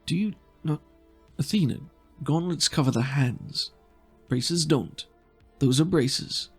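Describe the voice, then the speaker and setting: A man voicing an intense, suspicious male psychic in a tabletop game, speaking in dramatic, halting phrases with weighty pauses. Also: on the high side, husky, faint music behind, pitched above the performer's own voice. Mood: eager, correcting. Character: intense probing male psychic who reads objects, grave and suspicious.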